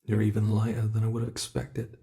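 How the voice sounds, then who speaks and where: A man's voice: fairly low pitch, monotone, fairly quick, vocal fry, dark tone. A man voicing a centuries-old predatory monster in an ASMR roleplay, theatrical and taunting, savoring each phrase with haughty, possessive menace. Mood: grudgingly gentle.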